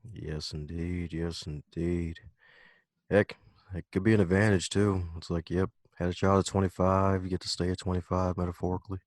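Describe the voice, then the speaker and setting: A man's voice: low voice, flat delivery, normal speed, dry recording, clean studio sound. A man hosting a podcast interview, upbeat and playful, repeating his catchphrases. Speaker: podcast host. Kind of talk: casual interview chat.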